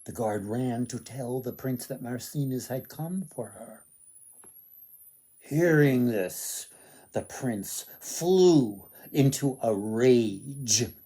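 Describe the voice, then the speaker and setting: A man telling a folktale dramatically, with theatrical, deliberate phrasing. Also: mid-range voice, lively intonation, warm tone, husky. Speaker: author, storyteller.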